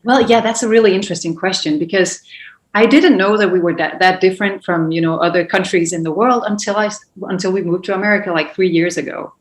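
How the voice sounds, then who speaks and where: A woman's voice: fairly low pitch, fast talker. A woman from Denmark, telling things conversationally as an interview guest, stressing her points by repeating words. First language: Danish. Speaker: interview guest, family mealtime expert. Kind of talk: conversational storytelling. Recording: roomy, echoing room sound.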